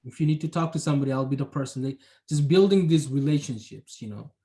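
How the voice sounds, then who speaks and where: A man's voice: mid-range voice, fast, warm tone. A young adult man from Bangladesh, talking about his planning job in a casual interview, reflective and hedging with many fillers. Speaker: urban planner, guest.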